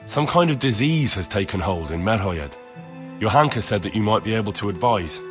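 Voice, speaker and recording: A man's voice: on the low side, lively intonation, brisk, lightly acted. An actor playing an earnest, plain-spoken young medieval commoner in a video game, direct in his manner. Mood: worried. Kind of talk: video game dialogue acting. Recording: music clearly behind the voice.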